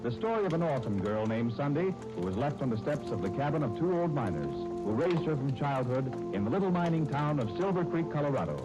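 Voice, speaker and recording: A man announcing and narrating in formal 1930s radio style, with measured, deliberate phrasing. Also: medium pitch, sing-song, over music, rough recording. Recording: old 1930s radio disc, faint noise.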